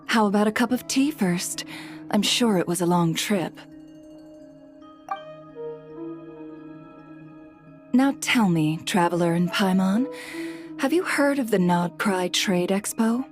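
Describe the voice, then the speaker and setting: A woman's voice: mid-range voice, expressive, normal speed, soft background music, natural voice. An actress playing a composed, courteous and shrewd businesswoman in a video game, speaking in a polished, confident manner.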